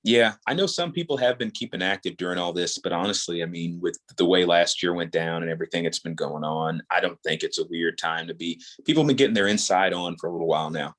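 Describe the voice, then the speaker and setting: A man's voice: sits low, quick pace, few pauses, a bit bright. A man talking casually as an interview guest, earnest and rambling, often repeating words as he works out his thought. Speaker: podcast guest, audio drama creator.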